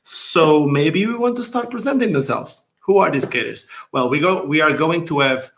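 A man in his forties with a Portuguese accent, hosting a casual interview over a video call from a dim room at home, animated and quick to laugh. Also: on the high side. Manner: animated, laughing, gestures a lot. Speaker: host. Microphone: headset on video call.

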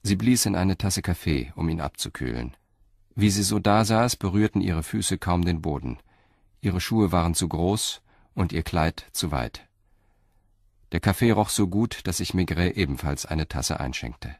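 Deep-voiced male crime drama narrator. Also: lively intonation, unhurried, dry recording, clean studio sound. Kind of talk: scripted crime story narration.

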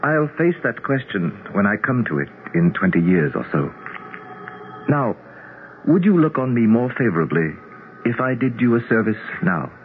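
A man's voice: medium pitch, big pitch swings, moderate pace, natural voice. Man acting lofty immortal prince, tinny old radio. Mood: assured, courting. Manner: formal, stately, declarative. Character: self-assured immortal alien prince, courtly and lofty. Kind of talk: 1970s radio drama acting. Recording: tinny, noisy 1970s radio broadcast.

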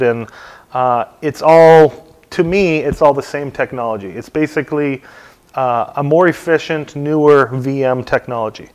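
A middle-aged man walks a room through a software install, speaking loosely with frequent ums and uhs. His voice sits a little high for a man and comes through smooth and clear. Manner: relaxed, many ums and uhs. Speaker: technical trainer. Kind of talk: hands-on tech tutorial talk. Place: dim meeting room, standing at lectern.

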